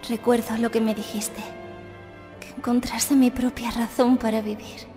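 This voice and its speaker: A woman playing a quiet, devoted young female assassin in an anime fan dub, solemn and measured. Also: fairly high pitch, little pitch movement, natural voice. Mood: tender sorrow. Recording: long reverb under background music.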